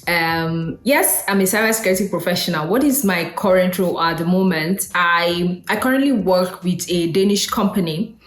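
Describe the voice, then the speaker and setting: A woman's voice: lowish voice, normal speed, hardly any pauses, roomy sound. A woman from Nigeria, hosting a casual solo talk in a conversational way, with fillers and asides. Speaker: host.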